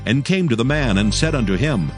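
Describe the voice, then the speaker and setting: A man narrating dramatized scripture as a solemn, measured storyteller. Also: medium pitch, big pitch swings, over music.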